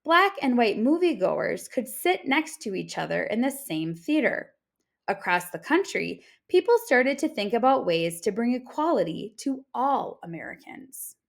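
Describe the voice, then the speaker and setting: A woman reading an informational picture book aloud like a teacher, with deliberate, expressive phrasing for children. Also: on the high side, creaky.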